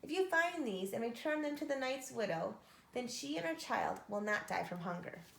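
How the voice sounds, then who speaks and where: A woman voicing a kindly, sorrowful old woman telling her tale gravely in a storybook. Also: a little high, animated, normal speed, a bit bright. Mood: earnest. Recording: echoey room.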